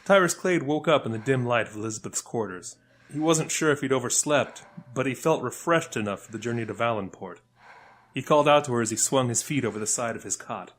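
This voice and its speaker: A man's voice: mid-range voice, animated, a little fast, fairly bright tone. A man narrating a scripted audio fiction story. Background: faint music.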